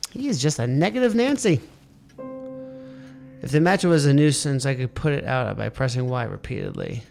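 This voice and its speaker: A man's voice: mid-range voice, expressive, normal speed, gravelly, a little mellow, faint music behind. A man playing a video game, muttering, swearing at it and reading its text aloud. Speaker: let's play gamer. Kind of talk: casual let's play commentary, reading game text aloud.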